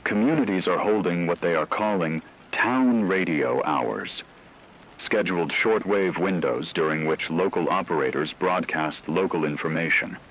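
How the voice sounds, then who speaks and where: A man acting as a grave emergency broadcaster with a low voice, reading disaster news in a formal, measured way. There is faint noise behind him, in the style of a radio drama. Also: fairly bright tone, poor audio. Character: grave male newsreader of an emergency radio broadcast during a global catastrophe. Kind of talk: scripted dramatic news narration.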